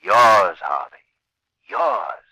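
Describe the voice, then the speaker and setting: An actor playing a menacing, commanding invisible avenger in an old radio crime drama, taunting and slow in his delivery. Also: low voice, animated, pushed and bright, slightly rough, no echo, full character voice, poor audio. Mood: stern, taunting. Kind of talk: 1940s radio acting.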